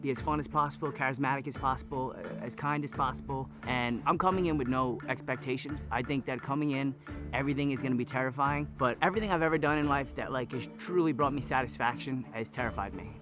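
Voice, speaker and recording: A man's voice: mid-range voice, fast talker. A man from the Bronx giving a contestant interview. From the Bronx, New York. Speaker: reality show contestant. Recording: loud music bed. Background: music.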